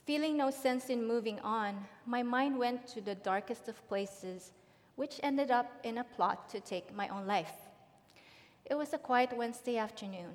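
A middle-aged woman from the Philippines, giving a prepared personal testimony in a large church hall. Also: on the high side. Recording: long hall reverb.

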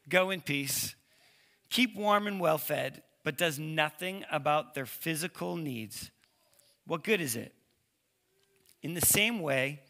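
Male preacher, bright forceful voice, higher-pitched, lisp, informal slangy storytelling, conversational sermon. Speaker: preacher, youth ministry leader.

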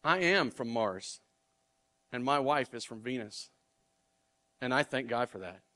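A man's voice: mid-range voice, lively intonation, unhurried, sharp tone. A middle-aged male pastor preaching a sermon in an earnest, conversational way, often restarting his words. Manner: earnest, conversational preaching with repeated word starts.

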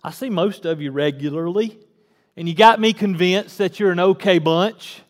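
A forty-something man preaches in a folksy, conversational way, now and then pushing into fervent emphasis. His voice sits high for a man. A long reverb trails his words through the church. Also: moderate pace. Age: 40s. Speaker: pastor. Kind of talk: casual sermon. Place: church sanctuary.

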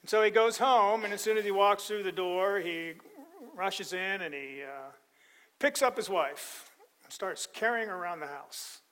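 A middle-aged male pastor preaching a sermon, animated and joking with the congregation. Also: high voice, measured pace, poor audio.

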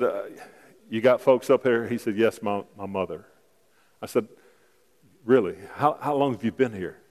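A man's voice: medium pitch, darkish tone. A man pastor preaching a sermon, telling personal stories in a conversational way and rising to emphatic calls to his church. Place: church sanctuary. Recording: long hall reverb from a church sanctuary.

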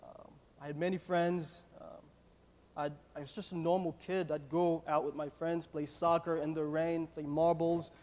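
A man's voice: a little high, level tone, darkish tone. Nervous Haitian man preaching in an echoey hall. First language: Haitian Creole. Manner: earnest, halting, repeats words. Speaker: guest preacher at a church. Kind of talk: personal testimony sermon. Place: church sanctuary, speaking to a congregation. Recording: echoey hall with long reverb.

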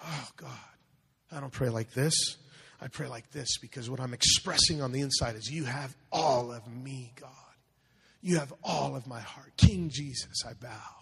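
A man preaching a sermon in an animated, call-and-response manner, asking the congregation to repeat phrases after him. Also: medium pitch, normal speed, gravelly, husky. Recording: long hall reverb.

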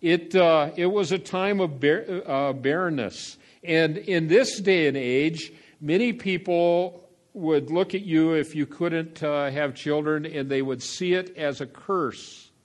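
Older man preaching, folksy and halting. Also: on the high side. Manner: folksy, meandering preaching with frequent fillers; swings between quiet asides and loud exclamations. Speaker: pastor. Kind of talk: sermon.

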